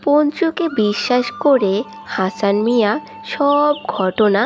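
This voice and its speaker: A woman's voice: fairly high pitch, slow, faint music behind. A woman narrator reading a scripted cartoon story.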